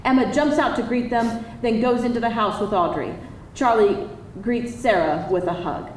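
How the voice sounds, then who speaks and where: A woman with a slightly high voice narrates scene directions from a script. She sounds a bit far from the mic in a roomy space. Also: normal speed. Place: live event room. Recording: roomy, distant, faintly noisy live reading.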